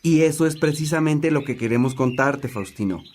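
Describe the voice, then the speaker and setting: A man's voice: on the high side, moderate pace, darkish tone, slight background noise, close to an everyday voice. A man acting a genial priest and old companion who likes reminiscing, in a religious radio drama. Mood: instructive, genial.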